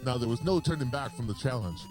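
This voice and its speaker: A man's voice: mid-range voice, animated, fast. A man reading a video game's story aloud in a playful, hammy way. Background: video game music.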